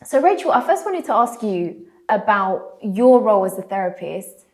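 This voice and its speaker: A woman's voice: medium pitch, normal speed. A British woman hosting a conversational podcast, talking animatedly and acting out quoted voices. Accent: British English. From United Kingdom. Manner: animated, acts out quoted voices, laughs easily. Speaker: podcast host.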